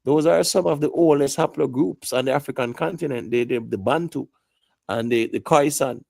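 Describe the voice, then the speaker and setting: Jamaican male panelist, gravelly, explains at length, keeps checking the listener follows. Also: medium pitch, normal speed, darkish tone, no echo. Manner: lecturing, repeats "you get me" asides. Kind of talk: live panel discussion.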